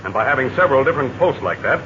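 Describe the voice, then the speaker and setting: An actor playing a confident, commanding heroic aviator in a 1940s radio serial. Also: male voice, medium pitch, very fast, background noise, natural voice. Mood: explaining. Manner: steady, commanding, reassuring. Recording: dull lo-fi 1940 radio recording.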